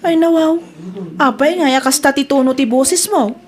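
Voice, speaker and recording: An actress playing a sharp-tongued village daughter in an audio drama, teasing and scolding. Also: female voice, high voice, moderate pace, natural voice.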